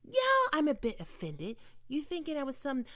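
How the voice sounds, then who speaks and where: A young woman voicing a nervous young female neko-vampire meeting her new human roommate in an ASMR roleplay, stammering and quick to take offense. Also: sits high, huge pitch swings, a bit bright, no echo, studio quality, exaggerated voice. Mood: offended. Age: young adult.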